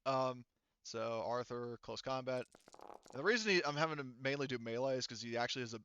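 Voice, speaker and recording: A man acting as game master for a tabletop roleplaying game, narrating casually and haltingly as he thinks through the rules aloud. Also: mid-range voice, sharp tone, smooth, dry recording, lo-fi sound.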